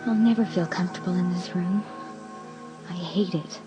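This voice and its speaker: A woman's voice: lowish voice, fairly even intonation, moderate pace, music in the background, natural voice. An actress playing an uneasy teenage girl in a dramatised audiobook, with an anxious, brooding manner.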